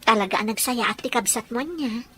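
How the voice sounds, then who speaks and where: An actress playing a caring, inquisitive young bride-to-be in a radio drama, expressive and questioning. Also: female voice, fairly high pitch, fairly quick, slight background noise, lightly acted. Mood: curious.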